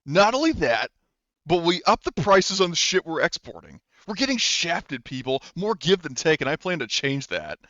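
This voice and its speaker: A man's voice: on the high side, big pitch swings, normal speed, fairly bright tone, dry recording, pitched above the performer's own voice. Man putting on a smug, blustering male politician, muffled. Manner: blustering, emphatic. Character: smug, sexist male politician ranting on a debate stage.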